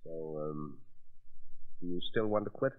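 Low-voiced, cold villainous boss. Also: male voice, a little slow. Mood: coldly menacing. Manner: curt, coolly polite, formal. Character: cold, menacing male shipyard boss, ruthless madman. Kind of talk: 1930s radio drama acting. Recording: muffled, band-limited 1930s radio recording.

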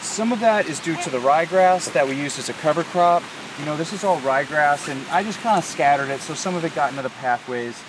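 A man's voice: on the high side, brisk. Man talking casually over noisy outdoor hiss. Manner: informal, plain-spoken. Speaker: farmer, vlog host. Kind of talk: casual explanatory vlog talk. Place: outdoors on a farm. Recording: clear background noise, hiss.

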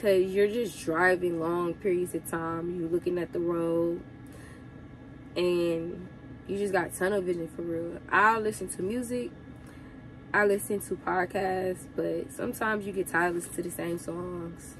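A young woman truck driver giving casual advice to her viewers, sounding congested from a cold, chatty and relaxed. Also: lowish voice, unhurried, a little hiss or hum, low-quality recording.

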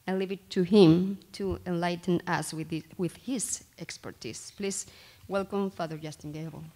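A woman in her thirties giving a formal introduction at a podium microphone in a small room, in a measured, slightly halting way. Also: on the low side, fairly even intonation, dark tone, a little hiss or hum. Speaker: faculty member introducing the speaker. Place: small lecture room, indoors.

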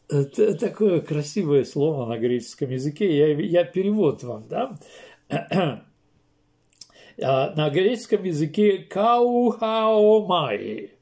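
An older man, a pastor, preaching a sermon slowly and emphatically from a small room, with pauses and restarts. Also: fairly high pitch, a little mellow. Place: small room indoors, seated in armchair.

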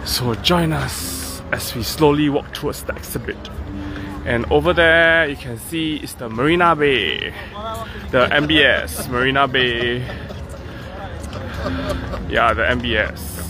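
Slow-talking man, gushing. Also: mid-range voice. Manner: gushing, easily amazed, chatty. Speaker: vlogger. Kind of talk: casual walking tour commentary. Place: outdoor waterfront light exhibit at night. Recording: phone recording outdoors with loud music. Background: loud music.

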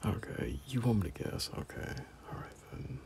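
A low, creaky-voiced young guy, acted by a man, plays the shy but teasing friend in an intimate audio romance. His soft, halting speech swings widely in pitch while staying casual and close. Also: sing-song. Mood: playful teasing. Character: teasing, bashful young male friend falling in love. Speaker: voice actor in a romance audio roleplay. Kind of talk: intimate casual boyfriend-style audio roleplay. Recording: noticeable background noise.

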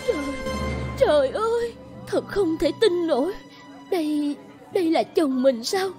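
An actress playing a gentle, anxious, devoted village bride in a cartoon folk tale, pleading and hesitant. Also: female voice, extremely high pitch, animated, measured pace, over music. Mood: horrified. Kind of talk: animated folk-tale dialogue.

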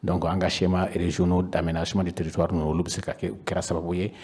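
A man in his fifties with a very low, husky voice and a Malian accent. He explains things to a panel, pausing and repeating words as he searches for the next phrase. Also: flat delivery, darkish tone. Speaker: regional council official, panel guest. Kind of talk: panel discussion, explaining in Bambara mixed with French. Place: indoor panel room. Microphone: handheld microphone with foam cover.

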